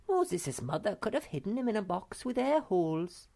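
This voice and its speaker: A woman voicing a dainty, sensitive young girl creature with a prim, gentle manner. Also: medium pitch, very animated, moderate pace, creaky, pitched above the performer's own voice.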